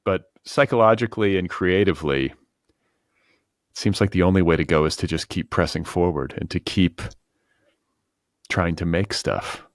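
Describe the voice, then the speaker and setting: Man, lowish voice, podcast interviewer, conversational, musing and tentative, very dry studio-like sound. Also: normal speed, long pauses, no echo. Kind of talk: conversational long-form interview.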